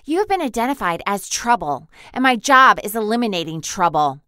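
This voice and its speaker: A woman's voice: mid-range voice, sing-song, a little fast, sharp tone, clean tone, no room sound, clean studio sound. A woman acting a sly, predatory alien beast disguised as a schoolgirl in an audio drama, mock-polite and threatening. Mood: cold threatening.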